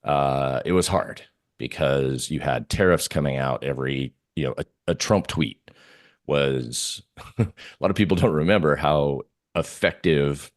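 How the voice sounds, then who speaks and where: Very deep-voiced man talking markets. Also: measured pace. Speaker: interview guest, market analyst. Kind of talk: casual market commentary.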